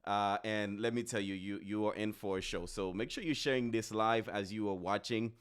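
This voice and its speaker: A man from Haiti hosting a podcast in an upbeat, chatty way, often repeating words and pausing on fillers. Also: fairly low pitch, clear, resonant tone. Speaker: podcast host.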